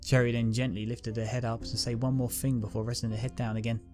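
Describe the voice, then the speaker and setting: A man narrating a fan-written pony story in a calm, steady reading voice. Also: medium pitch, fast talker. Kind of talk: fanfic audiobook reading. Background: guitar music.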